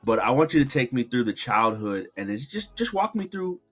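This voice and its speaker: A man's voice: medium pitch, sing-song, a little fast, husky, soft background music. Podcast host, a man with emphatic delivery. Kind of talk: interview podcast introduction and casual questions.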